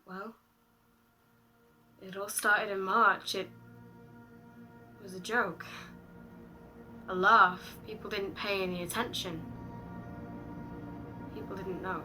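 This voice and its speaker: Casting: woman, late teens, mid-range voice, dramatic and deliberate slam poetry delivery over prominent music. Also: mid-range voice, steady tone, bright, forceful tone. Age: late teens, about eighteen. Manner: dramatic, deliberate phrasing with repetition. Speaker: slam poet. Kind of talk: spoken-word poetry performance.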